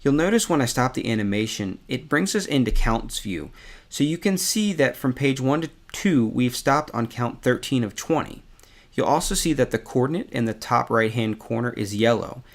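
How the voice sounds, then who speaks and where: A man with an average-pitched voice guides the listener through a software tutorial. He speaks conversationally, explaining each step as an instructor would. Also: animated, normal speed.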